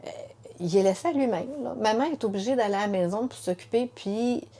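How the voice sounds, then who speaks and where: A middle-aged woman with a Quebec French accent telling her story as a mother, halting and repeating words with many fillers. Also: medium pitch, dark tone. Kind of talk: emotional personal testimony in conversation.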